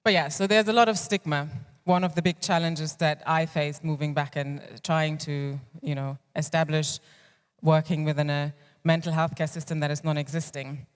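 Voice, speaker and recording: A woman's voice: sits low, fairly even intonation, moderate pace, clean tone. German-born woman speaking in a reverberant hall. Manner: candid, reflective storytelling with frequent restarts. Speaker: clinical psychologist speaking at a conference. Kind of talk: personal conference talk. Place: conference hall. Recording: long reverb of a large hall.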